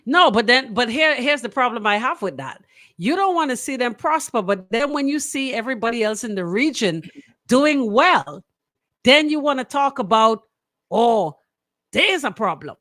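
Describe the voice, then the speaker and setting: A Bahamian woman co-hosting a casual radio discussion, outspoken and quick to interject. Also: a little high, animated, a bit bright, studio quality. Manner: outspoken, interjects and echoes her co-host. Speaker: radio personality, co-host. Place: radio studio.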